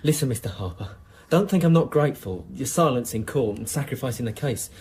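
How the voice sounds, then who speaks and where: An actor playing a cocky, jittery criminal informant with a husky voice, in radio drama style. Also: male voice, medium pitch, animated, brisk, a little mellow, a little hiss or hum, close to an everyday voice. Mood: nervy, bitter. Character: cocky, jittery male police informant and small-time criminal. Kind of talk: naturalistic radio drama acting.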